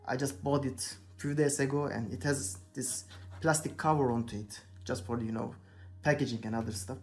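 Man, turkish accent, young adult, halting second-language english, lots of "you know", mid pitch, soft music behind. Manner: hesitant, searching for English words, many "you know". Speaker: hobby painter, channel host. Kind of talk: casual talk while painting. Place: indoor workbench.

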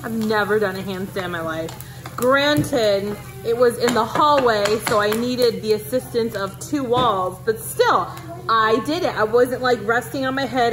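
A woman's voice: a little high, background noise. Chatty woman vlogging while she cooks. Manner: chatty, upbeat, talks while cooking. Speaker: family vlogger. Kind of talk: casual cook-with-me vlog. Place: home kitchen, indoors. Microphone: handheld phone camera at arm's length.

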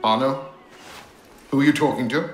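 An actor playing a stern, dryly amused older gentleman in a video game, formal and measured. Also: medium pitch, over music, natural voice. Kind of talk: video game cutscene acting.

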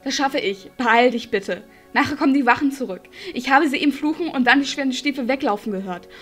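Woman doing a worried young prisoner girl, high voice, polite but urgent, fantasy radio play. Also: brisk, faint music behind. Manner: anxious, urgent. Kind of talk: fantasy audio drama acting.

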